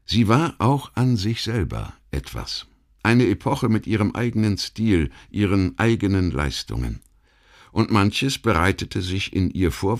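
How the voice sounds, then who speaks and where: A man reading a scripted history documentary as an audiobook narrator, in a measured, formal, essayistic way. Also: low-pitched.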